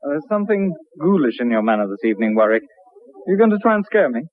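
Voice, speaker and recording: Lively, wry friend on old radio. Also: male voice, a little high, fast. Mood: wry, amused. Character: wry male writer friend, amused willing listener. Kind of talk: 1960s radio drama acting. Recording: tinny narrowband old radio recording.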